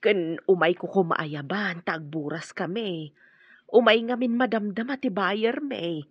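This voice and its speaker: An actress playing a caring, plain-spoken middle-aged village woman in an Ilocano radio drama, expressive and concerned, with an Ilocano accent. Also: medium pitch, unhurried, slightly rough, no room sound, dull audio. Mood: polite, subdued.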